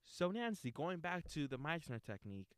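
A man hosting a relaxed talk about acting technique, rambling a little with restarts as he thinks aloud. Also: on the high side, normal speed, clear, resonant tone, no room sound. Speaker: host.

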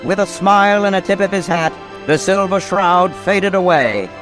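A man playing the storyteller of an epic radio mystery, narrating with grave, dramatic, deliberate phrasing. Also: sits high, lightly acted. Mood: reflective. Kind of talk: scripted old-time radio drama narration. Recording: music behind the narration.

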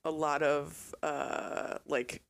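A podcast co-host gropes for words in casual chat, stringing thoughts together with "uh" and "um." The voice sits in a middle pitch. The delivery is loose and unhurried. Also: level tone, creaky. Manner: hesitant, many "uh" and "um", laughs along softly.

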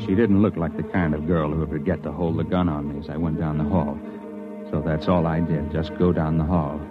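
Low-voiced uneasy investigator, old radio. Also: male voice, steady tone, brisk. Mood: tense narrating. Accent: American. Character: uneasy male investigator narrating his own strange adventure. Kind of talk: old-time radio drama acting and first-person narration. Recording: muffled vintage radio recording with music.

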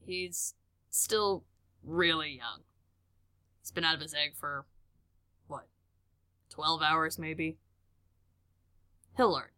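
A woman playing a male park director in an audio drama, measured, compassionate and gently firm. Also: low-pitched, smooth, heavily acted. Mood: gently reassuring. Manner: deliberate, sighing, gently firm. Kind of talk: scripted audio drama dialogue.

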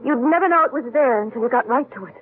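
A woman's voice: sits high, animated, quick pace. An American actress playing an anxious, suspicious young heiress in an old radio drama, earnest and pointed in her speech. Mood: bitter, pointed. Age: young adult. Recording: tinny, noisy old radio recording.

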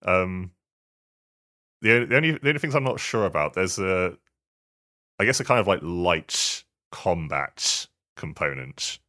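A man with an extremely low voice and a bright, forward edge chats casually as a podcast co-host. He speaks haltingly, often doubling back on his words as he describes things. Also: lively intonation. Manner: hesitant, halting delivery with repeated words. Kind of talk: casual video game podcast chat.

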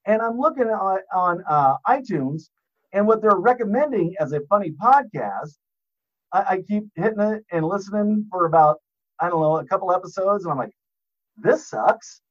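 A man's voice: high-pitched, lively intonation, normal speed, highs cut off. A man on a podcast as a guest, telling rambling, profane stories and laughing at his own jokes. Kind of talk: casual podcast chat, storytelling.

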